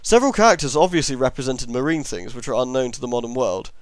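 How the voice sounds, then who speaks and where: Male amateur narrator, mid-pitched, horror story reading, dry sound, occasional stumbles on long words. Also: expressive, fast talker, no echo. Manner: reads with stumbles over long words, self-correcting.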